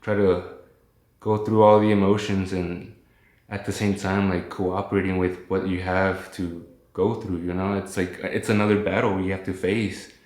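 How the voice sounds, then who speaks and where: A man's voice: low-pitched, a little mellow, reverberant. A man chatting casually as a guest on a call, rambling and reflective, with easygoing slang. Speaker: phone guest recounting his illness.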